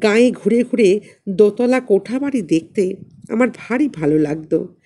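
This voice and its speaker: A woman narrating a literary story in an expressive, dramatic reading style. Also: medium pitch, normal speed. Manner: dramatic, acts out dialogue. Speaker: audiobook narrator.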